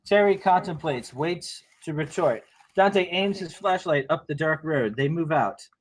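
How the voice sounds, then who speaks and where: Higher-voiced man narrating a screenplay. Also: expressive, a little slow, low-quality recording. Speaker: narrator reading stage directions. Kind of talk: scripted narration at a table read.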